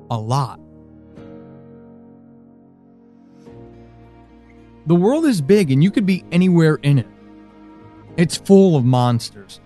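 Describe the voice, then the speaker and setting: A man playing a worried, frustrated friend in an audio drama monologue, speaking haltingly and intensely. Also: mid-range voice, mellow, voice pushed down, lightly acted. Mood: worried, subdued.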